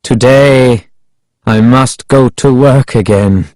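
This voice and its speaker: A man voicing a menacing, fanatical guardian of an ancient curse in an audiobook, proclaiming solemnly and deliberately. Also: medium pitch, darkish tone, dry recording, close to an everyday voice. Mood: cold, proud. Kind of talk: audiobook character acting.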